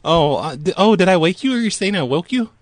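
A man's voice: high voice, lively intonation, a little fast, no echo. A man making a prank phone call, playful and teasing, keeping up a mock-earnest act. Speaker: prank caller.

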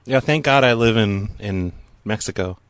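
A man's voice: on the low side, normal speed, no room sound. A man making a prank phone call, playful and teasing, keeping up a mock-earnest act. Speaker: prank caller.

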